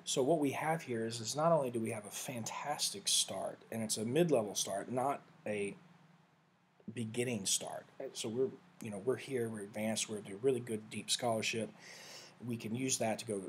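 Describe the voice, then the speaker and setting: A man giving a casual, rambling screen-share walkthrough of study software, often correcting himself mid-sentence. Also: medium pitch, moderate pace, slight background noise. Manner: rambling, self-correcting, conversational. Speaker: vlogger reviewing software.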